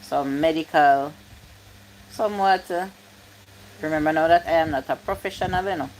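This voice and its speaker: A woman's voice: low voice, normal speed, darkish tone, a little hiss or hum, rough recording. A woman, chatting casually on a livestream, reading comments aloud and repeating her points. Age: 50s. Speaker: YouTube live streamer.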